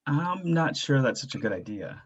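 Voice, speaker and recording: A man playing a stressed stay-at-home father in a staged drama reading, earnest, terse and quietly frustrated. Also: sing-song, moderate pace, husky. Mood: firm. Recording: dull, low-fidelity microphone sound.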